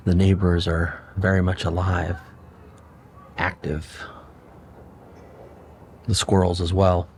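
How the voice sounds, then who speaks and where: A middle-aged man hosting his own channel, musing in a relaxed, unhurried way with pauses. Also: low voice, slight background noise. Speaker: channel host. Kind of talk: relaxed solo vlog talk.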